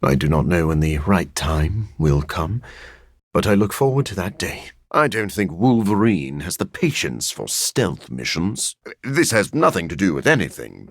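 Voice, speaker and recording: Brooding vampire scientist for a video game, deep n gravelly, dry deadpan humour, kinda formal, close dry recording. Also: male voice, unhurried, dry recording, exaggerated voice. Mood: wry. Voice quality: gravelly, husky. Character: brooding living vampire scientist, dour yet dryly witty. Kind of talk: video game character voice lines.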